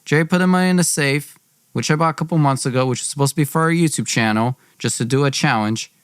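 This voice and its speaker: A man's voice: fairly high pitch, fast talker, clean tone, no room sound, studio quality. A young man hosting a podcast, telling a personal story in an informal, dramatized way. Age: young adult.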